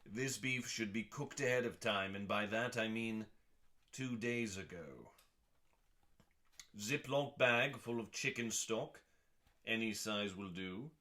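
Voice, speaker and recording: A man giving a dramatic, mock-serious scripted reading as a host, pompous, with long pauses and drawn-out emphasis on words. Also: on the low side, sharp tone. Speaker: host reading aloud from a cookbook.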